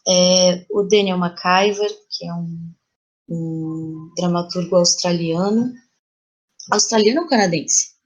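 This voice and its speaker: A woman's voice: on the low side, slow pace. Brazilian woman in casual podcast conversation. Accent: Brazilian Portuguese. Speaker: interview guest, playwright.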